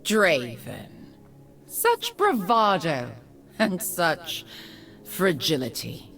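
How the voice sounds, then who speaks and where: An actress playing a poised, regal noblewoman in a video game, speaking formally in cool, measured phrases. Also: on the low side, huge pitch swings, gravelly, fairly bright tone, far from the mic, soft background music, exaggerated voice. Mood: stern, cutting. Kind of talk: video game character voice lines.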